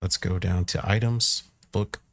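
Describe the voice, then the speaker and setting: A man with a low, creaky voice talks through a software tutorial at a slow pace. He is informal and thinks aloud as he works, recorded close on the mic. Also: fairly even intonation, no echo. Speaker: tutorial presenter. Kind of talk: casual screen-recorded software walkthrough.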